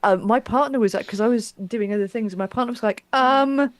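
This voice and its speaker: A woman interviewer in casual, chatty talk, halting at times and acting out reactions as she tells things. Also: a little high, no echo. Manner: animated, halting, acts out quoted reactions.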